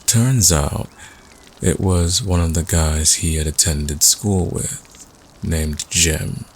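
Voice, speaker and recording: A man acting as a laconic storyteller at a campfire, deep and gravelly, telling an eerie tale in an understated way. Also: slow, a little hiss or hum. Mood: measured, ominous. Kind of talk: audio roleplay campfire storytelling.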